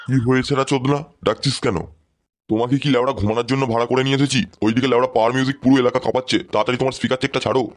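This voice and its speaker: A man's voice: mid-range voice, fairly even intonation, racing, lightly acted. A man voicing brash, foul-mouthed village men in a comedy cartoon. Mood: irritated. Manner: crude, slangy, combative. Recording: faint music behind the voice.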